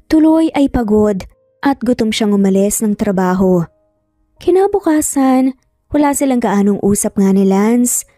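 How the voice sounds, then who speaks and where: A woman's voice: mid-range voice, mellow, dry recording, clean studio sound. A woman storyteller giving a scripted, dramatic narration of a Tagalog romance story. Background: background music.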